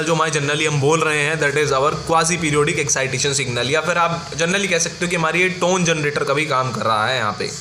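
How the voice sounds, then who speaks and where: A man's voice: on the high side, pushed and bright. A man with an Indian accent giving an informal explanatory lecture as a tutor, often checking in with short tag questions. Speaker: engineering tutor. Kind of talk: informal explanatory lecture mixing Hindi and English. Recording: echoey room with faint background noise.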